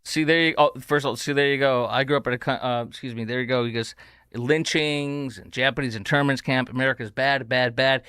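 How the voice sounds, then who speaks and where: A man's voice: mid-range voice, steady tone, brisk, sharp tone, clear, resonant tone. A man hosting an opinionated talk show, combative and sarcastic in tone. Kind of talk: opinionated commentary.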